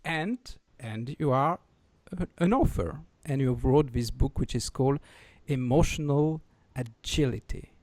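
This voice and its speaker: A man with a French accent who hosts a podcast, joking and halting, often restarting his words. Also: mid-range voice, a little mellow, no room sound. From France. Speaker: podcast host. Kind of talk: casual playful interview chat.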